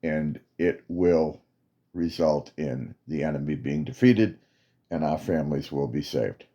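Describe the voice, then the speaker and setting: A man voicing a stern male military commander who gives slow, emphatic orders. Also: extremely low pitch, dark tone, lo-fi sound.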